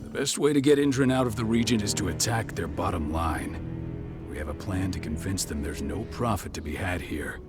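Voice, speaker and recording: A man's voice: low voice, brisk, close to an everyday voice. A man playing the firm, cautious leader of a covert pack, speaking with persuasive authority in a game cutscene. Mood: commanding. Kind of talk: dramatic game cutscene acting. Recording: music behind the dialogue.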